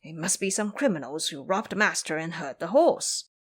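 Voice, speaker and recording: A woman's voice: on the low side, animated, moderate pace, slightly rough. A woman voicing a cowardly, lazy male pig-man who blusters and complains in an audio drama. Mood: alarmed. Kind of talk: audio drama character acting.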